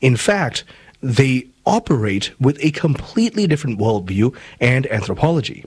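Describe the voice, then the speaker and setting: A man from Malaysia hosting a talk show, giving measured commentary and then interviewing a guest. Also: mid-range voice, very animated, darkish tone, slightly rough. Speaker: host.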